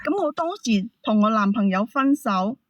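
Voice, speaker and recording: A woman's voice: a little high, expressive, normal speed, no echo, lo-fi sound. A woman with a Hong Kong Cantonese accent explaining herself as a caller, defensive and protesting. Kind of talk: heated personal explanation.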